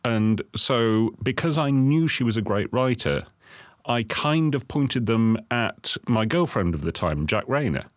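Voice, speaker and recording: A man's voice: mid-range voice, expressive, measured pace, no room sound, clean studio sound. A man, a writer, casually recalling past work in a documentary interview.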